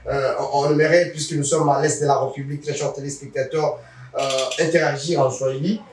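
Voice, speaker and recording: A man hosting a TV interview, pausing often with fillers and addressing viewers formally. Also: fairly high pitch, fairly even intonation, a little mellow, far from the mic. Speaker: talk show host. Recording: roomy sound from off-mic.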